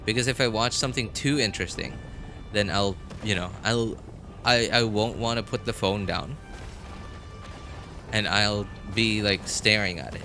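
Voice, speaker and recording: A man chatting casually as a game livestreamer, rambling and halting as he thinks aloud. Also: on the low side. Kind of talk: casual chat to viewers while gaming. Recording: music playing behind the voice. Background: background music.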